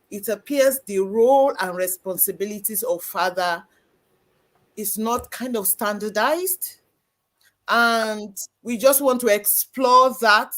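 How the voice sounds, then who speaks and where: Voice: medium pitch, fairly bright tone. The host of a church live-stream panel, speaking conversationally and explaining at length, with frequent "you know" fillers. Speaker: host, moderator.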